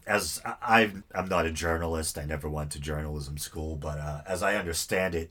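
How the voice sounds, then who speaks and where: A man's voice: very deep voice, fairly bright tone, breathy edge. A man hosting his own podcast in a casual, rambling, easygoing monologue, his voice stuffy and nasal. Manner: rambling, self-deprecating, easygoing. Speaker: podcast host.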